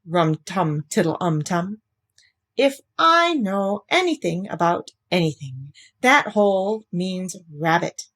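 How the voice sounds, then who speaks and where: Woman voicing a naive, friendly storybook bear, lively pitch. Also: slow pace, full character voice. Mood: cheerful humming. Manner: hums nonsense syllables, puzzled and polite. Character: friendly, naive, greedy male toy bear. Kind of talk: children's storybook character voice.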